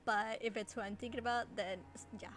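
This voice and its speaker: A woman chatting casually while streaming a game, giggly and halting as she restarts her thoughts. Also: fairly high pitch, fairly even intonation, fairly bright tone, soft background music. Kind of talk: casual chat while playing a video game. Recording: faint background noise.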